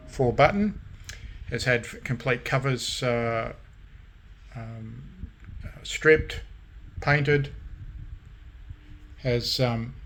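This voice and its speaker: A man talks through his hobby work in a casual, informal way. His delivery is slow and halting, broken into short phrases with frequent pauses, at a middling pitch. There is faint noise in the recording. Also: a bit bright. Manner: halting, pausing often between short phrases. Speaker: radio restoration hobbyist and vlogger. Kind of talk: casual show-and-tell of restored radios.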